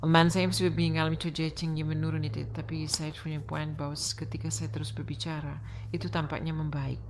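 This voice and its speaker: A woman sharing her own story of recovering from a stroke, talking haltingly with many pauses and fillers. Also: sits low, flat delivery, noisy background. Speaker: stroke survivor. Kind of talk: personal testimony.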